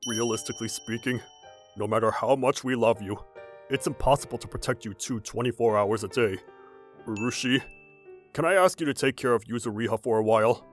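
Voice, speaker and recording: A man playing a calm, practical, protective middle-aged father in a manga dub, explaining gravely in measured phrases. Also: mid-range voice, soft background music, lightly acted. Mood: grave, explanatory. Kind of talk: anime-style manga dub acting.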